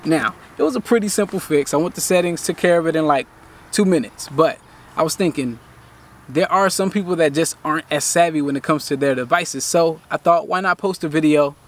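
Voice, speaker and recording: Relaxed man explaining from his car. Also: fairly high pitch, fairly quick. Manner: relaxed, patient, talks viewers step by step. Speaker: tech channel host. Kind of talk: casual how-to walkthrough. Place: inside a parked car, daytime.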